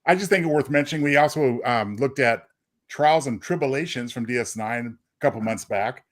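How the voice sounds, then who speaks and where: A man's voice: medium pitch, fairly quick. A man co-hosting a casual podcast, explaining trivia in a joking, conversational way. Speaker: podcast co-host. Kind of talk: casual fan trivia chat.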